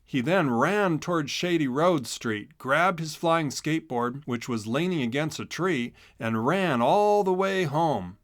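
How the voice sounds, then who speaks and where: Expressive man narrating a children's storybook. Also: a little high, unhurried, hardly any pauses, no room sound, studio quality.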